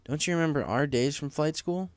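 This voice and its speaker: Man doing a reasonable, reassuring pony parent, raised pitch. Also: brisk, no echo, natural voice. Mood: advising. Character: adult pegasus pony factory co-manager, reassuring, reasonable parent. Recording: faint music under the voice.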